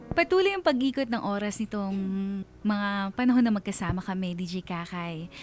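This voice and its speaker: Actress as lovestruck young Filipina, medium pitch, wistful, confessional radio drama narration. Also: female voice, lively intonation, normal speed, a little mellow, faint music behind, natural voice. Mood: wistful, puzzled. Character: Eva, lovestruck young woman confiding her story. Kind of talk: scripted dramatic first-person narration.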